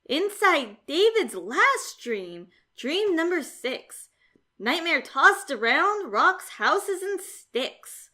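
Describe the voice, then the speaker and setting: High-voiced woman reading a children's story. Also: sing-song, extremely slow, pushed and bright. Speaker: storybook narrator. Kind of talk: expressive rhyming children's story narration.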